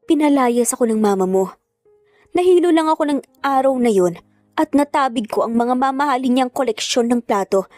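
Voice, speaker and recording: A woman's voice: high-pitched, expressive, moderate pace, a little mellow, dry recording, soft background music, voice pushed up. A woman acting as a proud, hurt mother in a narrated family drama, emotional and bitter. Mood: angry, tearful. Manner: tearful, bitter, defiant.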